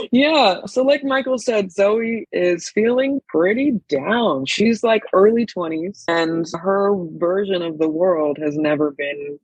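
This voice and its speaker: A woman's voice: medium pitch, takes it slowly, darkish tone, slightly rough, no room sound, rough recording. A woman in her twenties with a Californian accent, chatting casually as an interview guest and telling stories informally. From San Francisco Bay Area, United States. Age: 20s. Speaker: guest, stage actor and arts educator.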